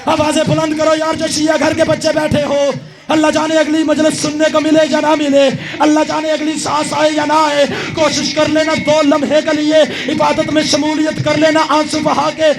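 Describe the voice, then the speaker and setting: A man giving a mourning recitation at a gathering, half-singing and shouting his lament. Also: extremely high pitch, monotone, normal speed. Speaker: majlis reciter.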